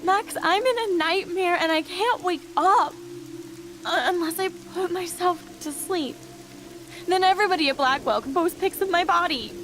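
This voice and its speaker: A woman's voice: extremely high pitch, moderate pace, fairly bright tone, a little hiss or hum. A woman playing a despairing, hurt teenage schoolgirl in a dramatic video game. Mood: bitter despair. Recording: game music and rain behind.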